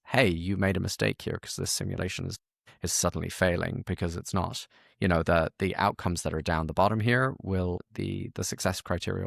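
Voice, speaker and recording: A man's voice: deep voice, gravelly, no room sound, clean studio sound. A man hosting a casual interview and walkthrough, playful and self-deprecating, often repeating words as he goes. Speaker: podcast host and support consultant.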